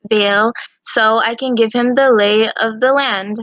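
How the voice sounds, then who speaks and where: A woman doing an amateur reading of character dialogue, with a halting delivery that sometimes restarts a line. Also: fairly high pitch, steady tone, a bit bright, dry recording, dull audio. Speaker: reader voicing female characters in a fan visual novel.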